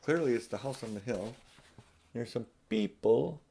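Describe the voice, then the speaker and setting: Older man, audiobook reader, storybook narration, casual chatty delivery, medium pitch. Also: moderate pace, vocal fry, mellow, husky. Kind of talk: storybook read-aloud with casual asides.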